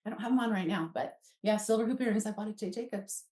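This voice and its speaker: An American woman in her fifties chats through her memories in a casual, storytelling way, voicing bits of remembered conversation. Her medium-pitched voice sounds roomy and a little distant from the microphone. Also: fast. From Alaska, United States. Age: 50s. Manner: animated, acts out remembered dialogue. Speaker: guest author. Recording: roomy echo, away from the mic.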